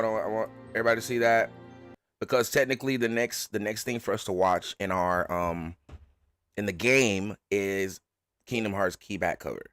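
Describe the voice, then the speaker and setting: A man's voice: lowish voice, a bit bright, no echo. A man hosting a reaction live stream, chatting in an informal, rambling, self-effacing way. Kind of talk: casual live stream chat.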